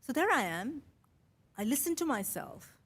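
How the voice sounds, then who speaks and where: A middle-aged woman with an Indian accent, a mix of Mumbai, Kerala and London, telling a personal story in a chatty, animated way as a podcast host. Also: high voice, moderate pace, husky. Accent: Indian English, Mumbai and Kerala with London influence. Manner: chatty, animated, quotes her own thoughts.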